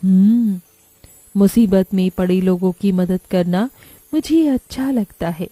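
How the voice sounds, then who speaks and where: A woman voicing a gentle, benevolent river goddess in a cartoon story, slow and soothing. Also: mid-range voice, no echo, faint music behind.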